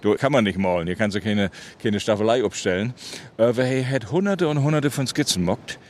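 A man from Rügen with a northern German Low German accent, chatting casually and explaining things, repeating himself as he goes. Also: animated, a little fast, a little mellow. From island of Rügen, northern Germany. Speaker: interview guest, writer. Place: outdoors on a windy clifftop. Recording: clearly noisy windy outdoor recording. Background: wind and outdoor ambience.

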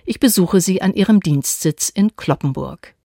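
A woman reporter narrating a scripted radio feature in a measured, even reading. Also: on the low side, gravelly, dry recording, clean studio sound.

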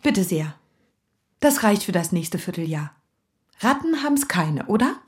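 A woman's voice: mid-range voice, big pitch swings, measured pace, darkish tone. An actress playing a resolute, teasing and affectionate young refugee woman in radio play dialogue. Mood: soothing, scornful. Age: young adult. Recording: roomy sound, faint noise.

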